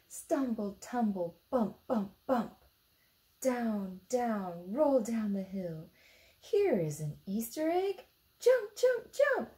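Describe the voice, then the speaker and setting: A woman in her thirties with an American accent, reading a picture book aloud to young children expressively, pausing to ask them simple questions. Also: a little high, mellow. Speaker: storytime reader for children. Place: indoor room beside a book display.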